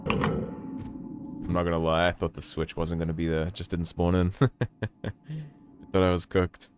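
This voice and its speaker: A man with a slightly croaky voice from a sore throat, commentating his gameplay in a casual, wry way. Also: deep voice, a little slow, over music. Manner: relaxed, wry, self-mocking. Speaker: let's play host.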